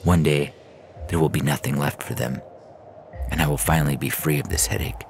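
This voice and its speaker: A man reading a scripted science fiction story in the first person, in a measured, literary storytelling manner. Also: rumbling low, fairly even intonation, creaky. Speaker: fiction podcast narrator. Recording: music bed under the reading. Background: music.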